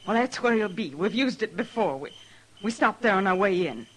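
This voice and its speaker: A woman's voice: mid-range voice, animated, normal speed, natural voice. An American actress playing a defiant, hard-bitten outlaw's woman in a radio western, bitter and insistent. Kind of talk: mid-century American western radio drama acting. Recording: noisy vintage radio recording.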